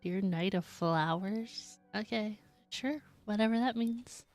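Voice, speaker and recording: A woman's voice: medium pitch, slow pace, a little mellow, faint music behind. A woman streaming a video game, chatting casually and reading the game text aloud. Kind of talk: casual gameplay commentary.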